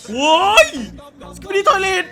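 A performer putting on an excitable, easily panicked cartoon game character with a squeaky voice, in an improvised comedic dub full of exclamations and crude slang. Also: unhurried, close to an everyday voice. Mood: startled, defiant. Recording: loud music over the voice.

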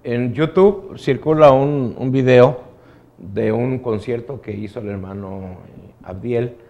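A middle-aged man chatting casually and a little haltingly as a news co-anchor in a studio. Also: medium pitch, warm tone. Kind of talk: casual on-air chat.

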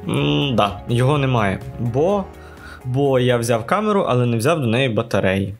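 Male vlogger, casual voice-over with wry asides; mid-range voice over a clear music bed. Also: medium pitch, expressive, moderate pace. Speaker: travel vlogger.

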